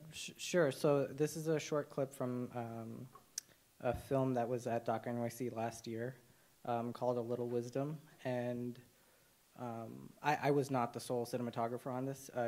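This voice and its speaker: A young man from Nepal, a documentary cinematographer speaking on a panel, talking with many pauses and restarts. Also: medium pitch, little pitch movement, a little mellow. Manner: hesitant, many fillers and restarts. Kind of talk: panel discussion.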